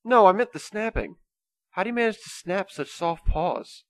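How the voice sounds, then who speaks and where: Man playing earnest Saint Nick, very lively pitch. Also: moderate pace, no echo. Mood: earnest, patient. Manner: earnest, reasoning. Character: kindly, patient young Saint Nicholas, earnest dreamer. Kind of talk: amateur comedic radio play.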